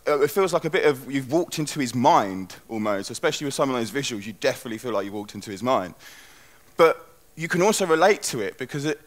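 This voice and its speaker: A man's voice: medium pitch, a bit bright. A young man gives a loose, chatty talk on a conference stage through a headset mic, full of restarts. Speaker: conference speaker.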